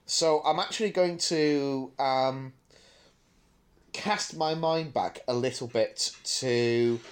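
A man's voice: medium pitch, slow. Man thinking aloud in casual chat. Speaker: podcast co-host.

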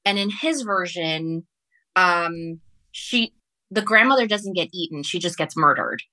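A woman's voice: on the low side, lively intonation, pushed and bright, dry recording. A woman from Ecuador, a non-native English speaker, chatting as a guest author on a podcast, often doubling back and repeating phrases. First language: Spanish. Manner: halting, self-correcting, repeats phrases. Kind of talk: casual podcast interview, storytelling.

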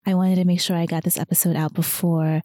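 A woman hosting her own weight loss podcast while losing her voice, talking softer than usual in an encouraging tone. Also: fairly low pitch, level tone, quick pace, no echo, studio quality. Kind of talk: solo podcast talk.

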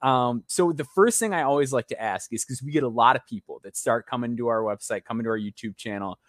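A man's voice: mid-range voice, fast, no echo, clean studio sound. A young man hosting a basketball interview show, eager and talkative, often repeating words as he goes, over a video call. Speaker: podcast host.

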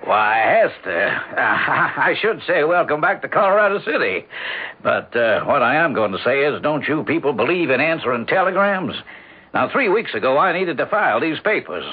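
A husky, animated small-town lawyer in a radio play. He is folksy and exclamatory, businesslike but skeptical. The recording is thin and phone-like, an old broadcast. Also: on the high side, normal speed. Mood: jovial. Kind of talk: radio drama acting. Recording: tinny, narrow-band vintage radio broadcast.

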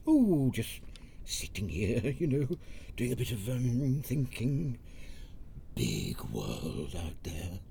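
An actor playing an anxious, self-doubting village mayor with a British accent in a comic audio drama, plaintive, hesitant and rambling. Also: male voice, mid-range voice, huge pitch swings, slow pace, gravelly, warm tone, a little hiss or hum, full character voice. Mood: flustered, pensive.

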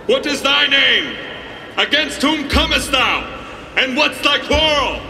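A stern herald proclaims from far off at a very high pitch. Long echoes follow his formal radio-drama verse. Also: sing-song, slow, sharp tone, full character voice. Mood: stern, proclaiming. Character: booming ceremonial marshal, stern herald of combat. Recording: distant, echoey noisy hall.

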